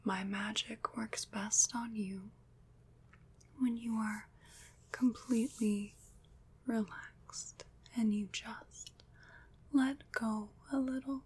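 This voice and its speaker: A woman playing a gentle, soothing witch in an ASMR roleplay, whispering softly and breathily with tender, formal, old-fashioned phrasing. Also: on the high side, steady tone, slow, long pauses, breathy edge, full character voice. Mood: reassuring, tender. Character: gentle, soothing female witch, caring and slightly mysterious.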